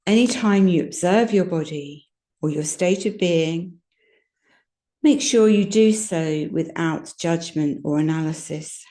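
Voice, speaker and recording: A woman teaching yoga nidra, guiding a relaxation meditation in a gentle, soothing, unhurried manner with long pauses. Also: on the low side. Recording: roomy, echoing sound.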